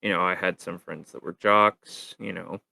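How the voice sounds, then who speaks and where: Rambling low-voiced young podcast host. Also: male voice, fairly even intonation, moderate pace, vocal fry, sharp tone, no room sound, studio quality. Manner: rambling with many ums and laughs. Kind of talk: casual podcast chat.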